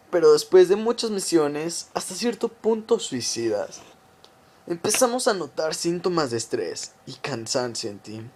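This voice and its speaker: A man playing a cold government conspirator in a radio drama, confessing a plot to his victim in a deliberate, taunting, menacing way. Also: a little high, darkish tone. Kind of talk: amateur radio drama monologue.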